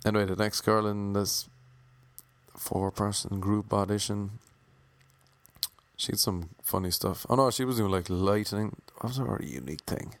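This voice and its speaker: A man's voice: lowish voice, normal speed, creaky, dry recording. A young man with an Irish accent telling wry, self-mocking stories about his auditions in a casual monologue. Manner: wry, self-mocking, playfully imitating others.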